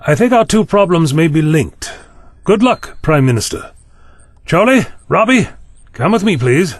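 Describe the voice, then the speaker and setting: An actor playing a polite, inquisitive time-travelling doctor hero in a sci-fi audio drama. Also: male voice, on the high side, big pitch swings, a little slow, no echo, clean studio sound. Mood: calm, firm.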